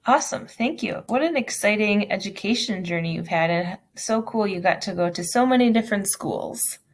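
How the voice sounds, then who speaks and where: A woman's voice: medium pitch, animated, normal speed. A woman hosting a virtual event, reading interview questions and responding with upbeat encouragement. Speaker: event host. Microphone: video call.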